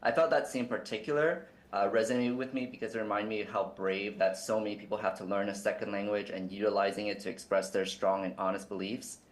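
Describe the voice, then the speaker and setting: A man with a low voice asks long, earnest interview questions at a quick clip. He pads his questions with "um" and "like" as he goes. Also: steady tone. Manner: earnest, with many fillers.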